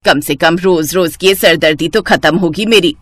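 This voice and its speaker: A woman's voice: on the low side, normal speed, dry recording, voice pushed down, natural voice. Woman playing a nagging older mother-in-law, dry sound. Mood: accusing, grumbling. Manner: nagging, accusing. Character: grumbling, spiteful older mother-in-law, a grandmother. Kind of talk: animated Hindi moral-story voice acting.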